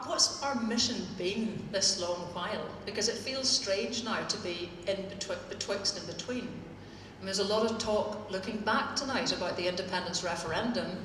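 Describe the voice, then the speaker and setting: A woman's voice: medium pitch, fairly bright tone, slight background noise. A woman with a Northern Irish accent giving a witty, pointed talk to a live audience. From Northern Ireland. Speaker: speaker at a live political event. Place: theatre hall. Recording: echoey hall, speaker far from mic.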